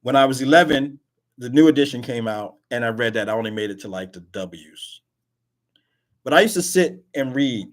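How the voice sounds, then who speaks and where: A man's voice: mid-range voice, animated, normal speed. A man hosting an online talk radio show, speaking emphatically in casual chat and often repeating words as he pushes a point. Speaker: host.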